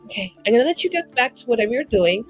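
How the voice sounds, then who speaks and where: A woman's voice: fairly high pitch, expressive, very fast, husky, faint music behind. A playful, exclamatory woman who swears freely, chatting casually and improvising as a player in a tabletop roleplaying game.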